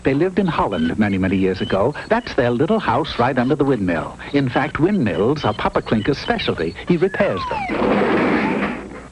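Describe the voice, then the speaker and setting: A man's voice: mid-range voice, huge pitch swings, moderate pace. A man playing a wry, mock-solemn storyteller narrating a comic cartoon fairy tale. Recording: noisy old unrestored TV cartoon audio.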